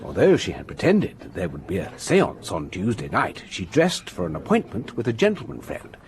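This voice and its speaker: An actor playing a methodical gentleman who lays out the facts of a case in a measured, lecturing way. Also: low-pitched, wildly swooping pitch. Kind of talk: radio drama acting.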